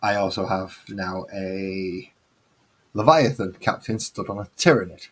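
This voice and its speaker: A man's voice: deep voice, unhurried. A man with a Mancunian accent hosting a casual hobby chat, chatty and self-mocking with a grumbling streak. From northern England. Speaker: host.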